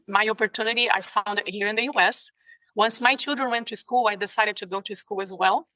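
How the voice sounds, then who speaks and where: A woman's voice: mid-range voice, sharp tone, dry recording, rough recording. A middle-aged woman from Brazil with a Brazilian Portuguese accent, a nurse guest speaking earnestly in a podcast interview. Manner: earnest and heartfelt.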